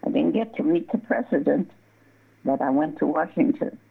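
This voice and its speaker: A woman's voice: deep voice, expressive, moderate pace, vocal fry, slightly rough. An older woman from southern Colorado, telling stories in a casual interview. She rambles, restarts her words and laughs often. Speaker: guest, embroidery artist. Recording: tinny phone line.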